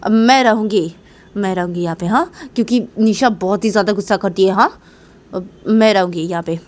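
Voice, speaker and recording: A woman's voice: mid-range voice, a little fast, natural voice. A woman voicing a firm, protective older mother in a family story, insisting and reproaching as she repeats herself. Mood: insistent.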